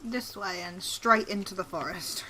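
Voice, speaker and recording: A woman chatting in a relaxed, dry way while co-hosting a video game playthrough at home. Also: mid-range voice, measured pace, slight background noise, low-quality recording. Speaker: gaming co-host. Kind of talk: casual let's-play chat.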